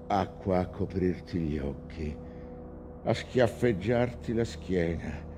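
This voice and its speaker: An actor playing an anguished, defiant shipwrecked whaling captain in a theatrical monologue, delivered in halting phrases that swing between hushed dread and cries. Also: male voice, on the low side. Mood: gasping dread. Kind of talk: dramatic theatrical monologue, literary stage acting. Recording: music under the voice.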